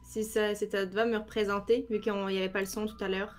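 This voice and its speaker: A woman in her thirties from France, with a French accent and some Quebec expressions, explaining her work in a casual video-call interview with lively hand gestures. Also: mid-range voice, quick pace. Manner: animated, explains with many examples, gestures with hands. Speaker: cybersecurity trainer, guest. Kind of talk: casual interview on a live stream. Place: home room, indoors.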